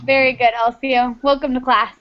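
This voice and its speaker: A woman's voice: high voice, level tone, moderate pace. A woman from Texas teaching an online English class, friendly and patient, encouraging her students over a video call. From Texas, United States. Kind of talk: online class small talk. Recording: muffled video-call audio.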